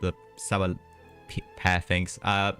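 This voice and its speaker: A man's voice: low-pitched, monotone, takes it slowly, bright, forceful tone, faint music behind. A man giving casual, off-the-cuff live commentary while streaming a video game. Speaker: game livestreamer.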